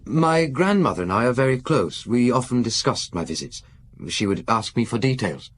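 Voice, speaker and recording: Guy acting nervous posh young man in a radio play, earnest and hesitant, voice swings up and down, bit husky. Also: mid-range voice, animated, normal speed, close to an everyday voice. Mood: nervous, confiding. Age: young adult. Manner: hesitant, polite, confiding, with stammered restarts.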